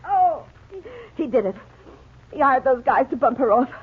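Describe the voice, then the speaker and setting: Tinny old radio sound, and through it comes a brassy gangster's girlfriend, high-voiced and frantic. She pleads and accuses in slangy, shrill bursts, in full 1940s crime-serial style. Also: animated, moderate pace, very noisy. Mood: bitter accusing.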